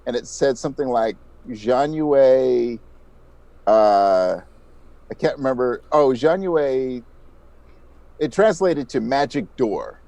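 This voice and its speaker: A middle-aged man speaks as a guest in a conversational interview. His pitch sits in the middle of the male range. He explains his craft as a voice actor with enthusiasm. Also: animated, takes it slowly, pauses often, warm tone, no room sound.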